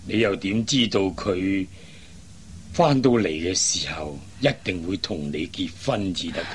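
An actor playing a worried middle-aged father in a Cantonese radio drama, sighing and speaking with drawn-out emotion. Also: low voice, slight background noise. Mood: troubled, pressing. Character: worried, exasperated father of grown daughters.

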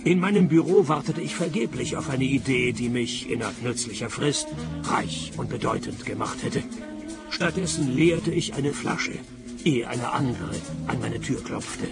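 An actor in a radio play playing a wry, world-weary private detective in a deadpan, sardonic manner. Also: male voice, medium pitch, moderate pace, vocal fry, over loud music. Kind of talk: radio play narration and dialogue.

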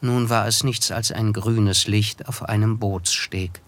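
An actor narrating a literary radio play as an observant, wistful friend, in a measured, contemplative manner. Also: male voice, on the low side, fairly even intonation. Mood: reflective.

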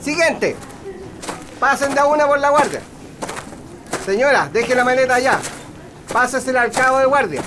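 An actor with a Chilean accent playing a curt, impatient military guard at a barracks gate in a radio drama. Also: male voice, very high-pitched, lively intonation, normal speed, pauses often, background noise. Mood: impatient, dismissive. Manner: bossy, impatient.

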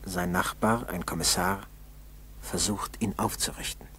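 A man narrating a scripted 1960s radio play in a grave, measured, husky voice. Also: low-pitched, fairly even intonation, fairly bright tone, slight background noise.

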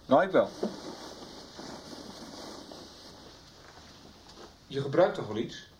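An actor playing a blunt, impatient, offhand lover in a Dutch radio soap. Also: male voice, mid-range voice, lively intonation, a little fast, lo-fi sound, background noise, natural voice. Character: blunt, impatient, casual male lover with secrets.

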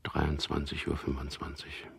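An actor playing a dazed, fearful prisoner thinking aloud in a radio drama, halting and hushed, with a husky, gravelly voice. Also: male voice, extremely low pitch, monotone, exaggerated voice. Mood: dazed, numb. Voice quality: husky, gravelly, half-whispered. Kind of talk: radio drama inner monologue.

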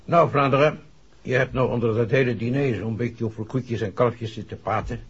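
An actor playing a genial, curious dinner guest in a 1960s radio drama, with a husky voice and Netherlands Dutch accent. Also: male voice, mid-range voice, normal speed. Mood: eager, curious. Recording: tinny, band-limited 1960s radio recording.

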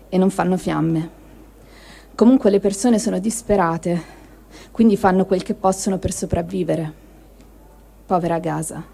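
A woman reads a personal diary aloud in a low, mellow voice with a gravelly creak. The reading is measured and reflective, moving in short phrases with space between them. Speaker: reader of a testimony. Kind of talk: literary reading of first-person war diary.

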